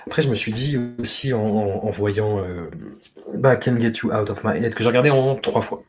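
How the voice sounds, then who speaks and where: A man's voice: fairly low pitch, moderate pace, darkish tone, a little hiss or hum. A man hosting a casual podcast discussion, speaking in articulate, literate phrases. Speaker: podcast host.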